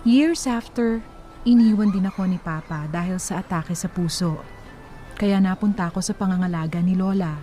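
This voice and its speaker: A woman plays a Filipino woman telling her life story as radio drama narration. Her voice is mellow, measured and reflective, over soft music. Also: on the low side, close to an everyday voice. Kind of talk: scripted first-person drama narration.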